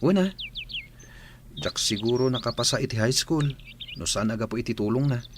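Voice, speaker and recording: A man's voice: medium pitch, moderate pace, a little hiss or hum, lightly acted. A man playing a wistful, loyal young student in a radio drama, earnest, with a Filipino accent.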